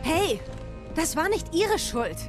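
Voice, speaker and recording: An actress playing a defiant, independent young soldier in a video game. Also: female voice, extremely high pitch, very animated, takes it slowly, music in the background, full character voice. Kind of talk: German video game dub acting.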